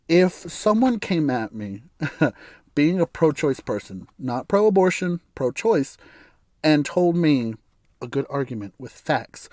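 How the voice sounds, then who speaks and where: A hoarse, raspy man of middle pitch talks through his views in a casual solo podcast, chuckling as he goes and often backing up to restart a sentence. He is from the United States, and his voice sounds close and dry, with little room sound. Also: a little mellow, no room sound. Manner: rambling and opinionated, with frequent self-restarts and chuckles. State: hoarse from bronchitis. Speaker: podcast host.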